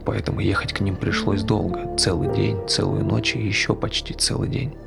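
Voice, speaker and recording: A man's low, heavily creaky voice tells a scripted folk tale. His delivery is measured and literary, with prominent music underneath. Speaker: actor narrating a tale. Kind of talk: scripted storytelling narration of a dark folk tale. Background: loud music.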